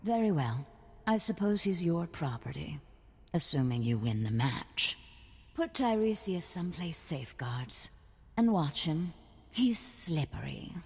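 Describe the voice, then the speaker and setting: A woman playing a sultry, sadistic video game crime lady with a languid, teasing, drawn-out delivery. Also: deep voice, big pitch swings, breathy edge, long reverb tail, full character voice. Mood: coolly commanding. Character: sultry, sadistic crime lady who relishes blood sports.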